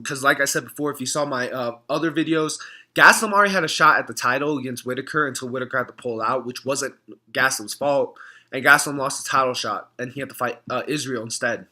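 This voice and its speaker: A young man with a hoarse voice, talking casually to camera in a reaction vlog, rambling, clearing his throat often. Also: mid-range voice, bright, forceful tone. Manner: rambling, frequent throat clearing and filler words. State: hoarse from screaming. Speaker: MMA fan vlogger.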